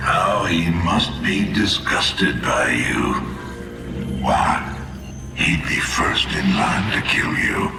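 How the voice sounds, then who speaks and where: A man acting a cold, gloating tyrant in a video game, deliberate, mocking and menacing, with a creaky voice. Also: low voice, expressive, heavily acted. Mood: mocking, scornful. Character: cold, gloating male tyrant, taunting and threatening. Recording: echoing distant transmission under game music.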